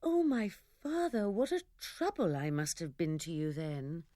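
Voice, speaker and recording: A woman's voice: medium pitch, very animated, unhurried, mellow, no echo, clean studio sound. A woman reading the part of an innocent young girl in a storybook, in a raised, pleading voice. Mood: wondering.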